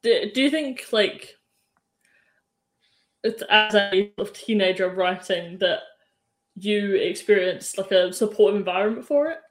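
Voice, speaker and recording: A woman's voice: medium pitch, a little slow, pauses often, echoey room. A woman hosting an interview, asking long rambling questions full of "like" and "sort of". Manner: chatty, rambling questions with many fillers. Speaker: podcast interviewer. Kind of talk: casual interview chat.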